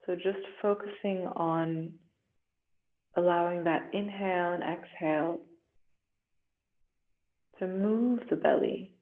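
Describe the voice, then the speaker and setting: A woman yoga instructor leading a guided relaxation meditation in a gentle, soothing, unhurried way with long pauses. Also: lowish voice. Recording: roomy echo, muffled phone-like sound.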